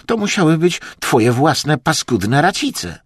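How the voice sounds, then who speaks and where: A man's voice: medium pitch, huge pitch swings, takes it slowly, fairly bright tone, slightly rough, dry recording, studio quality, voice pushed up, heavily acted. A man voicing a spiteful, sneering Chinese hunter in an audiobook.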